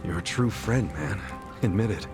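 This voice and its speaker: An actor playing a big-hearted, stubbornly optimistic ex-convict hero in a video game, with brash, slangy, earnest delivery. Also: male voice, low voice, lively intonation, normal speed, over music. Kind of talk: dramatic video game voice acting.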